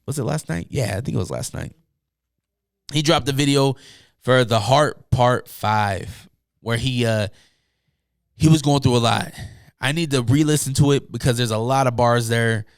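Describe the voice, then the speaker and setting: A young man with a hoarse, tired voice hosting a casual solo podcast, rambling loosely with many fillers and asides from a room at home. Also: medium pitch, normal speed, long pauses, no room sound, studio quality. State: hoarse, losing his voice. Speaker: podcast host.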